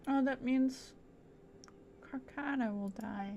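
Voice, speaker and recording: A woman's voice: high voice, takes it slowly, vocal fry, darkish tone, a little hiss or hum. A woman giving casual gameplay commentary, muttering to herself as she plays a video game. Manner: mutters to herself while playing. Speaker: streamer playing a video game.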